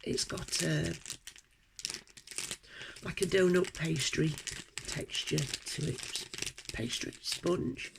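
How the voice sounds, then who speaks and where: Croaky British woman with a cold. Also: low voice, noisy background. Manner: hesitant, many ums and pauses. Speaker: unboxing vlogger. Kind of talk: casual unboxing chat.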